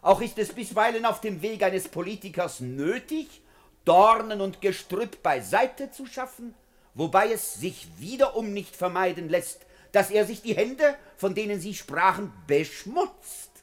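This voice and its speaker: A scornful politician addressing parliament in a 1950s radio play. His voice is high and highly animated, rising and falling widely. He declaims rhetorically, mocking as he accuses. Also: a little slow. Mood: sardonic, lecturing. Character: scornful, sardonic parliamentary orator attacking a minister. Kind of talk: 1950s German-language radio play acting.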